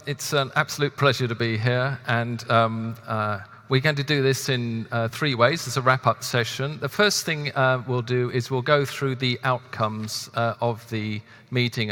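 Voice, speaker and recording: A man's voice: mid-range voice, pushed and bright. A man with a British accent moderating a conference wrap-up session, earnest and hesitant, with frequent fillers. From half British, half Spanish. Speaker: director moderating a closing session. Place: large conference hall. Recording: long reverb of a conference hall.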